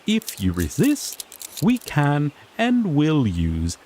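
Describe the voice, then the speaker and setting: A man's voice: a little high, wildly swooping pitch, slow pace, a little mellow, a little hiss or hum, heavily acted. A man playing a sassy griffon knight in a fantasy audio drama, an earnest rookie lawman who explains things formally with mild exasperation. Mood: officious, patient.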